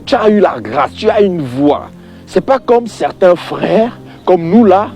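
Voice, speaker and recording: A man's voice: high voice, lively intonation, unhurried, mellow, faint music behind. A man giving an informal religious opinion monologue, exasperated and emphatic, repeating points and addressing the listener directly.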